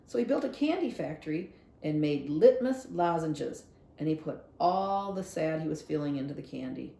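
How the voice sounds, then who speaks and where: A woman voicing an earnest, curious and stubborn young girl in a children's novel read-aloud, expressive and full of questions. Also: low-pitched, moderate pace, creaky, reverberant.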